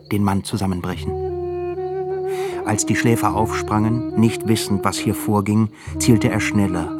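A man narrating a literary radio drama from a script in a grave, deliberate manner. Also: deep voice. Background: prominent music.

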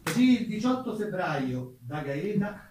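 A man's voice: sits high, sing-song, heavy background noise. A man delivering dramatic theatrical narration of history, declamatory and emphatic. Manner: declamatory, emphatic, measured pauses. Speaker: stage actor, narrator. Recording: roomy, distant stage sound with music.

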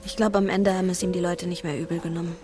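A woman's voice: on the low side, level tone, very fast, over loud music, close to an everyday voice. An actress playing a headstrong, coaxing young woman in a crime radio drama, speaking in natural dialogue. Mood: concerned.

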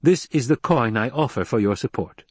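A man's voice: mid-range voice, animated, normal speed, breathy edge, no echo, voice pushed up. A male narrator voicing a proud young nobleman who speaks with commanding force. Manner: imperious, forceful delivery.

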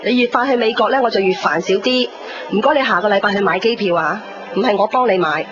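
A woman's voice: mid-range voice, quick pace, music in the background, natural voice. An actress playing a nagging, self-pitying, stubborn middle-aged mother with a Hong Kong accent in a radio drama. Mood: hurt, reproachful. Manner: fussy, reproachful. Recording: dull radio recording, noisy street ambience. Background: busy crowd ambience.

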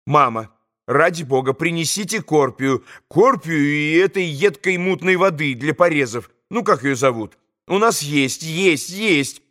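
A man's voice: on the high side, lively intonation, a little slow, a bit bright. A man reading an audiobook and voicing an imperious, nervous young girl who gives commanding, impatient orders. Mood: pleading, impatient. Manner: commanding, urgent, impatient outbursts.

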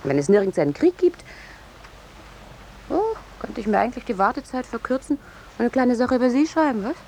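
An actress playing a pushy, self-important female war correspondent in a 1950s German radio play, talking in a brisk, insistent manner. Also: a little high, lively intonation, a little mellow. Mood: dismissive, scheming. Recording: noisy 1950s radio drama recording.

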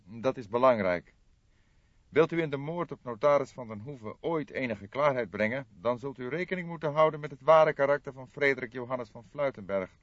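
A stern, gloomy gentleman of middle age, as a man reads him in an audiobook. His pitch is raised and his delivery is solemn, formal and emphatic. The recording is dull and roomy. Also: close to an everyday voice. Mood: stern, earnest. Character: stern, gloomy, formal middle-aged male friend of the deceased.

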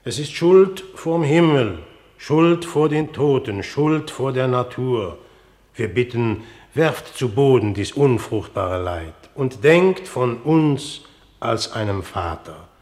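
An actor playing an authoritative, smooth-talking middle-aged king in a classical radio drama, speaking formally and with regal measure. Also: mid-range voice, lively intonation, takes it slowly, a little mellow. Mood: stern, courtly. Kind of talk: classical German stage-verse radio drama acting.

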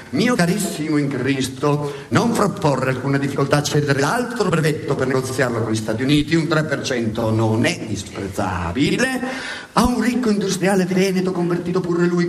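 Male satirical stage narrator, highly animated, fairly high pitch, ironic and emphatic, hissy recording. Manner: emphatic, ironic, repeats words dramatically. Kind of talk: satirical theatrical monologue storytelling. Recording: hissy radio theatre recording.

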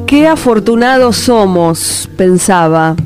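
A woman's voice: mid-range voice, expressive, takes it slowly, loud music behind the voice. A woman hosting a live radio interview, speaking in effusive, lyrical and welcoming phrases. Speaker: radio host.

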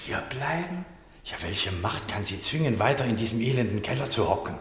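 A male actor plays a gallant gentleman rescuer in a radio drama, pompously courteous and easily indignant. His delivery is theatrical and swings widely in pitch. The sound is very echoey, with a long reverberant tail. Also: expressive, brisk, a little hiss or hum, lightly acted. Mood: baffled. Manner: florid, formal, theatrical. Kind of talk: radio play acting.

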